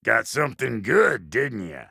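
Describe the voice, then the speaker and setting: A man voicing a gruff, foul-mouthed dwarf blacksmith in a video game, with a gravelly drawl and a brash, folksy manner. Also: mid-range voice, sing-song, a little slow, sharp tone, dry recording, studio quality, exaggerated voice. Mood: gruff, alert. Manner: brash, folksy, cussing, barking warnings and taunts. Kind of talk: video game character voice lines.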